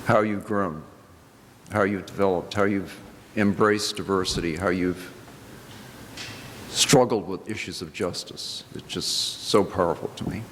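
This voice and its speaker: An older man speaking as a minister in a reflective church address, with deliberate, halting phrasing and pauses for emphasis. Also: deep voice, steady tone, a bit bright. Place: large indoor church sanctuary. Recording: reverberant church hall with background noise.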